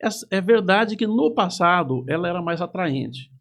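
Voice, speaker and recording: A middle-aged man from Campinas, a TV news anchor reminiscing in a measured, casual interview. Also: high-pitched, lively intonation, a bit bright. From Campinas, Brazil. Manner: reminiscing, measured, with frequent restarts.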